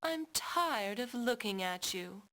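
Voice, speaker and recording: A woman's voice: on the high side, expressive, bright, forceful tone, heavily acted. A woman playing a haughty game villainess, condescending and coolly menacing in a theatrical style. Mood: disdainful. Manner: condescending, deliberate. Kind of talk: theatrical 1990s game voice acting.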